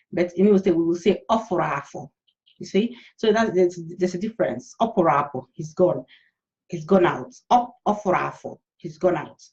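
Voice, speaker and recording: A woman's voice: on the low side, a little mellow. A woman in her thirties with a Nigerian Igbo accent, speaking informally and encouragingly to the camera as a language teacher. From Enugu State, Nigeria. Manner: encouraging, often checking in with listeners. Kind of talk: informal instructional talk to camera. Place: small plain room indoors. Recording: slightly dull webcam audio.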